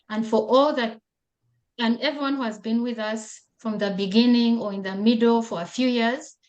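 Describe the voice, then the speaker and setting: A woman's voice: medium pitch, a little mellow. A woman from Uganda hosting a video call, giving welcoming remarks with thanks and many fillers. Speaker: event host.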